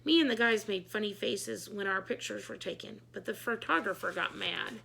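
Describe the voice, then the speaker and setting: Bright-voiced woman reading a children's story. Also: medium pitch, lively intonation, moderate pace, vocal fry, slight background noise, low-quality recording. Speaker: read-aloud storyteller, teacher. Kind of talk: expressive children's book read-aloud.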